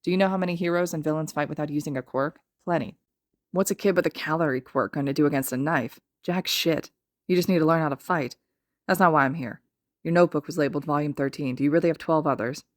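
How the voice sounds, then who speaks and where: A woman's voice: sits low, fast, dry recording, studio quality, natural voice. A woman voicing a sardonic, menacing young male villain with a blunt, sneering drawl in a story reading. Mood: blunt, curious.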